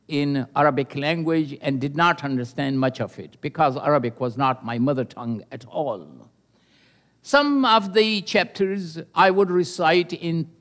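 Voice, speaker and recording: Older guy preaching, slow and careful, kinda high voice, lots of uh pauses. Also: a bit bright. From Pakistan. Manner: earnest and measured, with pauses and hesitations. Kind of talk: sermon with personal testimony.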